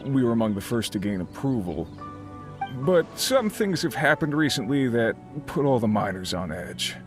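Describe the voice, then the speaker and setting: An actor playing an uneasy, earnest miner who leads a crew, explaining things in video game dialogue. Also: male voice, mid-range voice, big pitch swings, normal speed, lightly acted. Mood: friendly. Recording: game music behind the voice.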